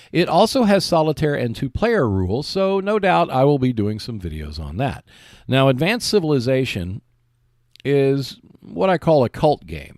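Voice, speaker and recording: A man's voice: medium pitch, a little mellow, dry recording, studio quality. A man hosting a solo podcast, delivering prepared announcements and commentary. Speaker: podcast host.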